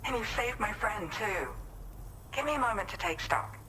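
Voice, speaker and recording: A woman's voice: mid-range voice, animated, moderate pace, pushed and bright, slight background noise. An actress playing a kind, composed woman prospector and inventor in a video game, speaking politely and articulately in an explanatory way. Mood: relieved, amazed. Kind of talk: scripted video game cutscene dialogue.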